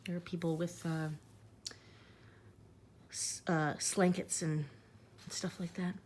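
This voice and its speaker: A woman's voice: on the low side, little pitch movement, takes it slowly, darkish tone, slight background noise. A woman reading a rhyming storybook aloud expressively, slipping into casual asides. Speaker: storybook reader.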